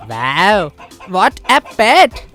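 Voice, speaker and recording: A woman voicing a curious, easily impressed child in an animated children's story. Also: mid-range voice, drawn-out words, faint music behind, full character voice. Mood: amazed.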